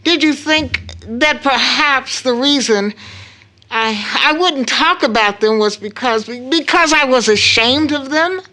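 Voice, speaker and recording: Slow, solemn mother. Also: high-pitched, bright, forceful tone, heavily acted. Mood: hurt, accusing. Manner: solemn, deliberate, emphatic. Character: grave, anguished mother baring a long-kept family secret. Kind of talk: stage audio drama acting.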